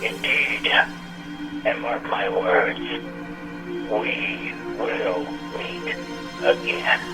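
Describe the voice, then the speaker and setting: A man playing a menacing, vengeful alien ship commander in a sci-fi audio drama, speaking slowly and ominously. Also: on the low side, fairly even intonation, gravelly, over loud music, heavily acted. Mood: grudging menace. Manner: slow, deliberate, ominous.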